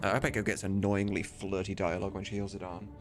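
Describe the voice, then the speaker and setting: A man's voice: deep voice, level tone, fast. A man chatting casually as a livestreamer while he works, sometimes muttering distractedly and swearing. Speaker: streamer and game modder. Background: music, computer keyboard typing.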